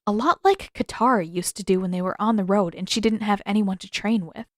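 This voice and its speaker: A woman's voice: medium pitch, brisk, dry recording, clean studio sound. A woman reading a story aloud as scripted narration. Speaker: podfic narrator and author.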